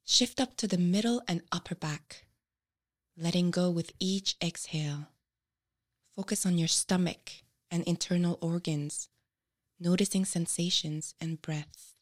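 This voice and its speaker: A woman with a fairly low voice narrates a guided meditation. She speaks very slowly, in short measured instructions, leaving frequent pauses between phrases.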